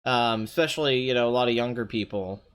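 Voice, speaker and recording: A young man with a somewhat high, nasal voice, strained and hoarse, chatting casually on his live stream and answering viewers' comments. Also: fast talker, a bit bright. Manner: chatty, rambling, often clears throat, reads chat aloud.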